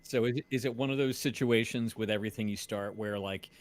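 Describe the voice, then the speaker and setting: A man's voice: lowish voice, steady tone, fast. A man hosting a casual, conversational interview, asking the questions. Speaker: interviewer and show host.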